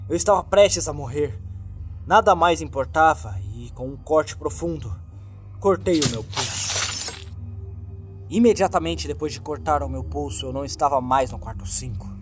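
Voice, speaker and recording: Male horror narrator, Brazilian accent, middle-pitched voice, grave dramatic scripted read with music underneath. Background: background music.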